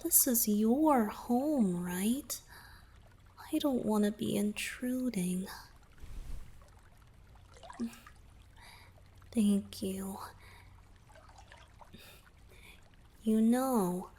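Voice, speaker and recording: A gentle young merman boyfriend played by a woman in a romantic ASMR roleplay. The voice is soft and very breathy, often slipping toward a whisper. The delivery is extremely slow, tender and playfully affectionate. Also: mid-range voice. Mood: grateful, hushed. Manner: tender, unhurried, softly teasing.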